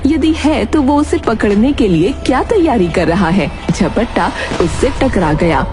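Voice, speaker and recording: A woman narrating a children's cartoon story in an even storytelling manner. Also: on the high side, unhurried, music in the background.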